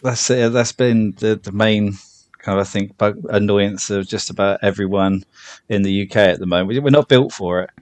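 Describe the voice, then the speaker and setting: A man's voice: fairly low pitch, normal speed, no echo. A British man hosting a podcast in a casual, humorous chat. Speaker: podcast host.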